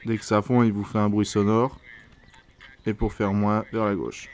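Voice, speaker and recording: Man, lowish voice, informal reviewer, hesitant, slangy. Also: flat delivery, moderate pace, darkish tone. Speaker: product reviewer. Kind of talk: casual hands-on product review.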